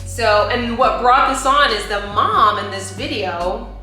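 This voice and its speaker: Female co-host, middle-aged, casual and expressive, bright voice, faint music behind. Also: fairly high pitch, unhurried. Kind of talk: casual chat show talk. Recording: very echoey room, faint music.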